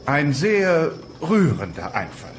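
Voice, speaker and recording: A man's voice: fairly high pitch, wildly swooping pitch, slow pace, noisy background, exaggerated voice. A man playing a fussy dinosaur schoolteacher in a comedy audio play, hesitant yet grandly admiring. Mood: polite, bemused. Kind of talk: dubbed comedy radio play acting.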